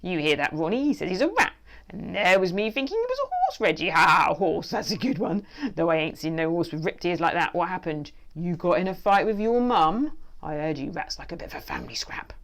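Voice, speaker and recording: A woman's voice: on the low side, sing-song, brisk. A cheeky bully of a squirrel, acted out by a woman reader, lifted above plain talk. He jeers and taunts in loose, street-kid speech, straight from a storybook. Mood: mocking, jeering. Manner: colloquial jeering. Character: cocky, mocking male squirrel twins, bullying louts.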